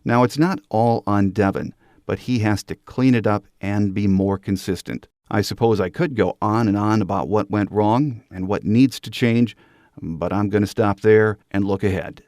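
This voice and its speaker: A man's voice: lowish voice, normal speed, a little mellow, dry recording, clean studio sound. A man, middle-aged or older, hosting a sports podcast and delivering prepared solo commentary. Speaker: podcast host.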